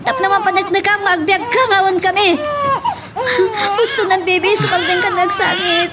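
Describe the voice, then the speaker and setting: Woman acting very high voice, young mother, bitter, tearful, Ilocano drama, noisy recording. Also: fairly even intonation, normal speed. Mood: furious, crying. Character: hurt young mother fleeing with her baby, bitter and defiant. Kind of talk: Ilocano radio-style melodrama acting.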